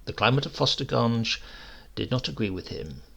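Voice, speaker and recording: Gravelly, husky man narrating a short story. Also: mid-range voice, animated, moderate pace, a bit bright. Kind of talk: dramatic scripted narration of a humorous short story.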